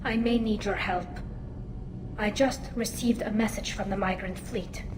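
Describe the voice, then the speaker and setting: A woman's voice: mid-range voice, steady tone, unhurried, background noise. A woman playing an earnest, anxious and loyal young alien engineer in a video game, speaking through a helmet filter. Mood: worried, sincere. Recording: roomy game audio with music behind.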